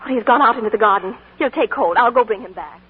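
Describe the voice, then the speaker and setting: A woman's voice: on the high side, fast, husky. An actress playing a spirited young woman with a lilting, playful manner in a 1940s radio drama. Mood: worried. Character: spirited young Irish woman, romantic and warm. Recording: tinny 1940s radio broadcast with music.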